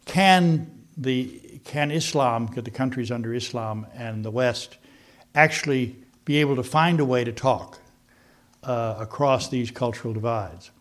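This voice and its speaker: An older man, a former diplomat, giving an unscripted talk on foreign policy, halting with many fillers and restarts. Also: medium pitch.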